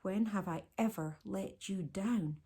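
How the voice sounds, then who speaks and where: A woman's voice: mid-range voice, unhurried, dark tone, breathy edge. A woman reader takes on a male poacher from a children's adventure book. He is terse and determined, with a gloating edge. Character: determined, crowing male poacher hunting a giraffe.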